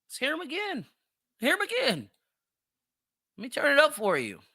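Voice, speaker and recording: A man's voice: very high voice, huge pitch swings, moderate pace, vocal fry, bright, forceful tone, no room sound, clean studio sound. A man hosting a podcast of opinionated solo commentary, speaking emphatically with plenty of swearing and repeating himself for emphasis. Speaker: podcast host.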